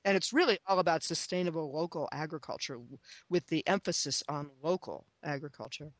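A woman's voice: deep voice, fairly quick, no room sound. A woman speaking as an expert guest in an interview, talking with enthusiasm and emphasis, repeating phrases and posing questions. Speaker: guest, author and chicken expert.

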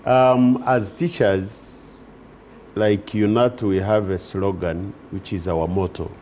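A man's voice: lowish voice, fairly even intonation, a little mellow, a little hiss or hum. A man, a teachers union leader, speaking formally as a guest on a TV talk show. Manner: measured, formal. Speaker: teachers union general secretary. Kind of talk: TV studio interview. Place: TV studio set. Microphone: lapel.

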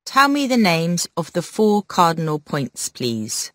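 A woman reading textbook questions slowly and clearly. Her voice moves a lot in pitch. The sound is dry and close. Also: big pitch swings, fairly bright tone, dry recording. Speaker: recorded model speaker.

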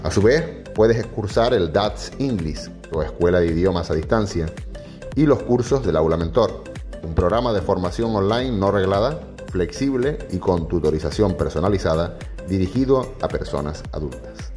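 A man giving an even, scripted narration. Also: low-pitched, moderate pace, soft background music.

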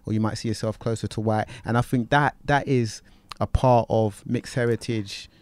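A man, hosting a podcast in a recording studio, talking in a relaxed, rambling way. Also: on the low side, normal speed, dry recording. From Britain. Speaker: podcast host. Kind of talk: casual conversation.

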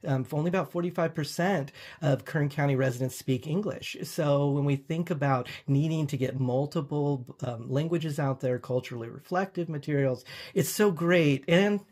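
A man's voice: fairly high pitch, moderate pace, few pauses, darkish tone. Earnest man explaining in an interview. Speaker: nonprofit representative, interview guest. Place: video call.

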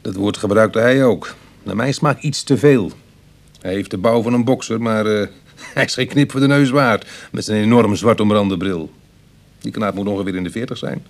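A man's voice: fairly low pitch, moderate pace, vocal fry. An actor playing a self-deprecating ex-burglar in his thirties, talking dryly and colloquially in a Dutch radio play. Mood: wry. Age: 30s.

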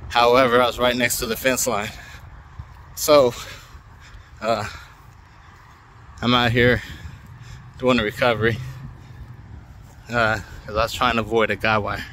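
A relaxed older man talks to his phone camera in a loose, colloquial way while walking through an open field at dusk. The voice sits at an ordinary pitch. Faint outdoor noise runs behind him. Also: measured pace, long pauses. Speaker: drone hobbyist vlogger. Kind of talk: casual walk-and-talk vlog. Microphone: phone held at arm's length.

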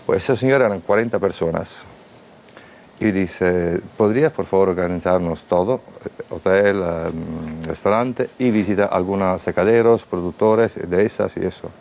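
A middle-aged man from Italy speaking, a restaurateur guest on a studio panel who tells anecdotes lively, acting out the dialogue, on a lapel mic. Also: low voice, moderate pace, slight background noise. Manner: animated storyteller acting out quoted dialogue. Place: TV studio set.

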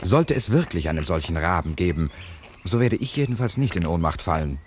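An actor playing a wry, sceptical, inquisitive photographer in a 1960s German radio play, dry and precise. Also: male voice, deep voice, sing-song, a little fast. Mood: wry, teasing. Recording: noisy 1962 mono radio recording.

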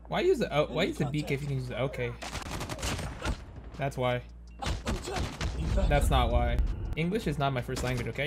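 A high-pitched man, native in Cantonese, streams a shooter live. His delivery swings widely as he commentates and teases in excitable slang. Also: gravelly, background noise. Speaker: game streamer. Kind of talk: live gaming commentary, casual banter. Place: indoor streaming room, seen on facecam.

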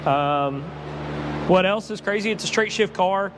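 A man, walking through a car warehouse and explaining cars in a relaxed way with lots of fillers. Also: sits high, unhurried. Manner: relaxed, knowledgeable, many fillers. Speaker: car salesman. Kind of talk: walkthrough explanation. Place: large indoor car warehouse. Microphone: clip-on lapel mic. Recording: faint background noise.

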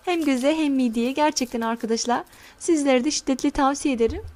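A middle-aged woman hosting a home cooking channel, calmly talking viewers through a recipe step by step and addressing them warmly as friends. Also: high-pitched. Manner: slow, careful instructions. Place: home kitchen counter.

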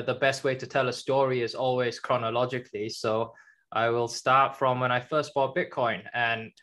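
A man's voice: medium pitch, fairly bright tone. Young man answering plainly on a headset. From born in China, grew up in Germany. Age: young adult. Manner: plain, measured, matter-of-fact. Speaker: guest, journalist and author. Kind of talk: interview answers on a video call. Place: home room with a kitchen behind.

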